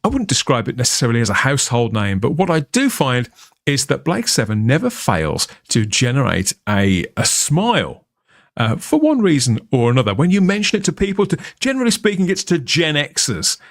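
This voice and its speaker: A man's voice: medium pitch, a little fast, dry recording. Middle-aged birmingham guy hosting a fan stream, jokey, voice jumps around a lot, dry sound. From England. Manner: playful, jokey, lively. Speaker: host. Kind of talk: live stream chat.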